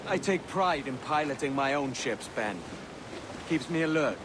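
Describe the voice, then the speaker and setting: An actor playing a proud, cocky young pirate captain in a video game, teasing and self-assured. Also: male voice, on the high side, lively intonation, moderate pace, lightly acted. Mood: proud, defiant. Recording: sea and boat noise behind.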